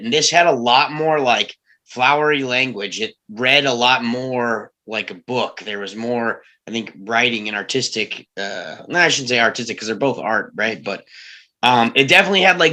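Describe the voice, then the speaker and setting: Laid-back man with a mid-pitched voice. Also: normal speed, sharp tone, rough recording. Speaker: table read panelist. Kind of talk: casual group discussion.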